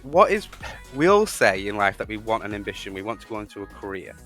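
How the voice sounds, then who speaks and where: A man's voice: on the low side, very animated, quick pace, a bit bright, soft background music. A man hosting a casual podcast discussion, speaking earnestly and repeating phrases for emphasis. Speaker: podcast host.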